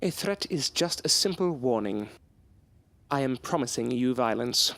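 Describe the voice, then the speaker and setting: A man's voice: medium pitch, expressive, unhurried, fairly bright tone, no echo. An actor playing a proud, loyal male scientist in an audio drama, speaking in a formal, articulate, theatrical way. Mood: controlled anger. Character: proud, defiant, loyal male scientist, courteous but bitter. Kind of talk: period audio drama acting.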